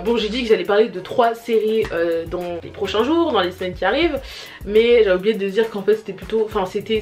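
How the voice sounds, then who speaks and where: A young woman vlogging at home to her camera, chatting casually about a series with lots of fillers and restarts. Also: medium pitch. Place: home, family noises around. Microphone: camera mic.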